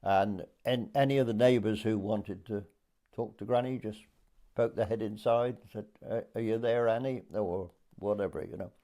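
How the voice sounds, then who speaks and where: Man in his eighties, English accent, mellow, gravelly, hesitant reminiscence, pausing storyteller. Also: lowish voice, fairly even intonation. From Dorset, England. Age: 80s. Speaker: interviewee recalling wartime childhood. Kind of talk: oral history reminiscence.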